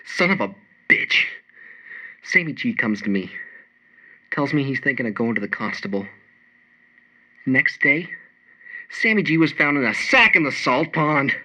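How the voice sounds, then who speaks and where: An actor playing a bitter, tough working-class smuggler giving slangy recorded testimony in a radio drama. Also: male voice, mid-range voice, animated, unhurried, pauses often, sharp tone. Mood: bitter, weary. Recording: dull lo-fi old recording.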